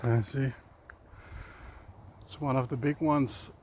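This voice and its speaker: A man's voice: medium pitch, fairly even intonation, slow, a little mellow. A man from Denmark with a Danish accent, speaking English as a second language, casually vlogging as he walks a forest trail, thinking aloud and sometimes searching for words. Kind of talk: casual walking vlog. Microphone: handheld phone. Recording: outdoor phone recording with clear background noise.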